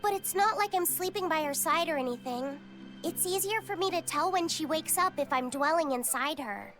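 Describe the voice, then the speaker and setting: A woman's voice: sits high, lively intonation, normal speed, fairly bright tone, faint music behind. A woman voicing an earnest, innocent young boy, shy and curious, in a video game. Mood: shy, thoughtful.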